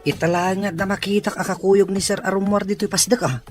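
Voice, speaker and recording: A man's voice: sits high, fairly even intonation, a little fast, faint music behind, natural voice. A man with an Ilocano Filipino accent playing a chatty, teasing office worker in an audio drama. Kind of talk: Ilocano radio-style drama acting.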